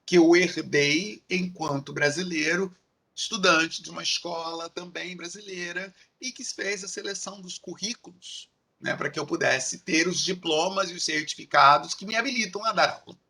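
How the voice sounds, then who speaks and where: Carioca man lecturing, bright husky voice. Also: high-pitched, moderate pace. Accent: Carioca Portuguese. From Rio de Janeiro, Brazil. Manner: explanatory, repetitive rhetorical lists with frequent "né?". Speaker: university lecturer. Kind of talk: recorded online lecture.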